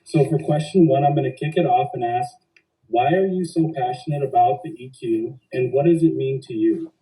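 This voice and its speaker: A man hosting a podcast, speaking in a measured, formal way. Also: mid-range voice, dark tone, far from the mic, poor audio. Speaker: podcast host. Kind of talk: semi-scripted podcast hosting.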